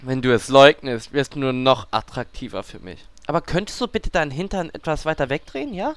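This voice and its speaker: A man's voice: mid-range voice, expressive, brisk, noisy background, close to an everyday voice. Man playing a brash, swooping lecherous adventurer. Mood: brash, flirty. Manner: cheeky, crude. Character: brash lecherous male guild adventurer, shameless flirt.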